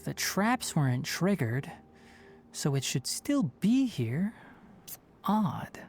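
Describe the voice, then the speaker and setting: A man voicing a composed young forest ranger and herbalist in a video game, speaking in a measured, matter-of-fact, formal way. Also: on the high side, very animated, background noise, full character voice. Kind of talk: scripted video game character dialogue. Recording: music playing behind the voice.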